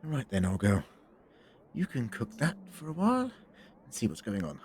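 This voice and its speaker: Eccentric time-travelling scientist, male actor, mid-pitched, swinging animated delivery, muttering, faint noise behind. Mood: puzzled, musing. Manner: muttering to himself, brisk, quizzical. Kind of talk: audio drama acting.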